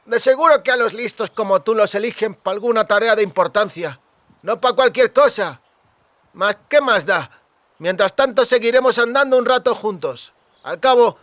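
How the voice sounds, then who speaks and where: A man's voice: extremely high pitch, expressive, moderate pace, a bit bright, no echo, pitched above the performer's own voice. A man voicing a drunken, boisterous, friendly young cooper with rustic, exclamatory speech for an audiobook. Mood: eager, friendly. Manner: exclamatory, colloquial rustic speech with clipped words.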